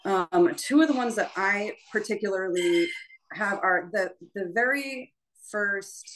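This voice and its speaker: A woman's voice: mid-range voice, animated, a little slow, fairly bright tone, low-quality recording. A woman leading a choral workshop as a music teacher, explaining informally and conversationally, often checking in with her listeners.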